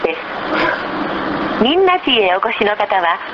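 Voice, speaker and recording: A woman reading scripted bus stop announcements in a formal, measured, polite manner on a low-quality recording. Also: very animated, highs cut off. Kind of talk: scripted public transit announcement. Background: loud vehicle noise.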